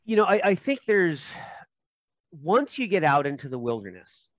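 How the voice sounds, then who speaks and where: A man from Minnesota, a game designer guest, explaining his setting in a halting, joking way from his home. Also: high voice, big pitch swings, no room sound, dull audio. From Minnesota, USA. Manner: halting, many fillers and restarts, joking. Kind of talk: casual interview chat.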